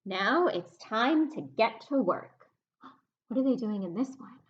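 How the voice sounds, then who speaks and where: A woman with a fairly high voice leads a story time for small children. Her pitch swings widely in a sing-song way as she reads and puts questions to her young listeners. The recording is a little dull and lo-fi. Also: expressive, a little slow. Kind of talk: children's story time with read-aloud and rhyme game.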